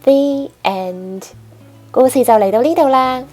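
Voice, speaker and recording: A woman's voice: high-pitched, measured pace, darkish tone, soft background music. A woman narrating a children's storybook expressively as host.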